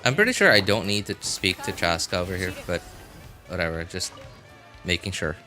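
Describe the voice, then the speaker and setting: A man's voice: deep voice, sing-song, normal speed, bright, forceful tone, faint music behind. A man streaming a video game, chatting casually in a rambling, self-deprecating way. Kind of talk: casual livestream chat while playing a video game.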